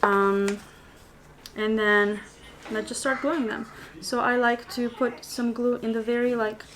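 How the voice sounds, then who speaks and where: A woman's voice: fairly high pitch, steady tone, unhurried, a bit bright, a little hiss or hum, low-quality recording. A woman whose first language is Albanian, chatting as she teaches paper flower making on a live stream, with many fillers and restarts. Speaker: paper flower crafter and tutor. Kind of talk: live-stream craft tutorial, reading comments.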